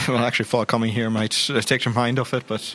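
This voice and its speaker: A man's voice: mid-range voice, level tone, quick pace, fairly bright tone, a little hiss or hum, natural voice. A man playing a tactful, dry investigator in improvised audio roleplay, hesitant and understated in a conversational way. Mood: sympathetic.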